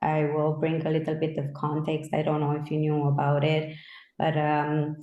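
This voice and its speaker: A woman in her thirties speaks in an earnest, reflective way with a low voice. Her voice carries a noticeable room echo, as if recorded in a bare space. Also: completely flat delivery, moderate pace, narrow, tinny audio. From Romania. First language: Romanian. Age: 30s. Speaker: interviewer. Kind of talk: conversational interview. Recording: roomy echo.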